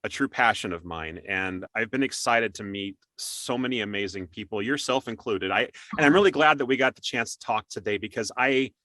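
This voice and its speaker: A man's voice: fairly low pitch, a little fast, few pauses, sharp tone, no echo. A man hosting a casual remote interview in an encouraging, appreciative way, speaking close to the mic. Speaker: interview show host.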